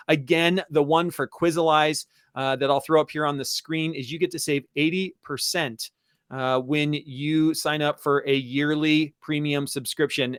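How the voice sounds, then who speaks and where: Male webinar host, slightly high-pitched, enthusiastic and emphatic, conversational delivery, dry sound. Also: fairly bright tone, smooth, no room sound. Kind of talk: conversational educational webinar talk.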